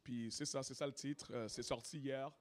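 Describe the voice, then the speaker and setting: A man's voice: medium pitch, fairly even intonation, moderate pace. Laid-back Haitian rapper, code-switching. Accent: Haitian French. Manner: laid-back, peppers speech with "you know what I mean". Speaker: rapper and singer. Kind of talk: casual interview, mixing French and English.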